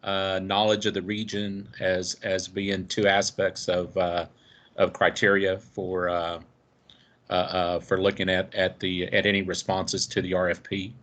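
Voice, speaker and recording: A man moderating a conference call off the cuff, halting and searching for his words. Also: sits low, flat delivery, a bit bright. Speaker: meeting moderator.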